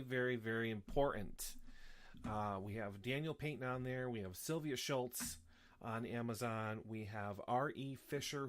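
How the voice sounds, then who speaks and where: Sniffly man hosting a casual live chat. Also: medium pitch. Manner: rambling, many fillers and restarts. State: sniffly with a slight cough. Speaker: host.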